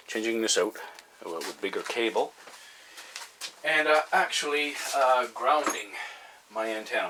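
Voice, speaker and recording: Male hobby vlogger, deep voice, folksy and halting delivery, slightly noisy recording with clicks. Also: fairly bright tone. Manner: halting, folksy, thinking aloud. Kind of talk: casual explanatory vlog.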